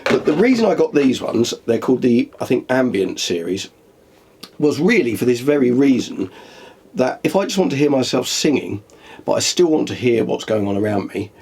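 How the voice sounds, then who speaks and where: A man's voice: mid-range voice, a little mellow, husky. A middle-aged man, a musician talking casually to camera with a chatty, dry self-deprecating humour. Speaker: musician vlogger.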